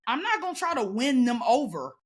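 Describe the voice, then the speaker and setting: A woman talking casually as a guest, loud and emphatic with a sassy edge. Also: high-pitched, big pitch swings, a little fast, over a noisy crowd. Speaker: podcast guest. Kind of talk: casual conversation.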